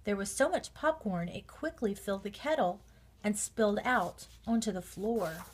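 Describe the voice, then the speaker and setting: A woman reading a children's storybook aloud with measured, expressive narration. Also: medium pitch, breathy edge. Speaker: storybook reader.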